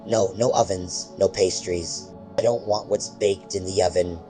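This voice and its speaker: A man's voice: deep voice, fairly even intonation, measured pace, warm tone, faint music behind, voice pushed down, poor audio. Man voicing a gurgling predatory monster mother. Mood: menacing. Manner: gurgling hiss, menacing and possessive.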